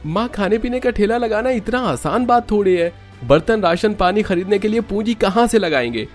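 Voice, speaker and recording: A man plays an earnest grown son in a cartoon family story. His voice is strikingly high for a man, set over faint music. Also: lively intonation, normal speed. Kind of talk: Hindi cartoon story acting.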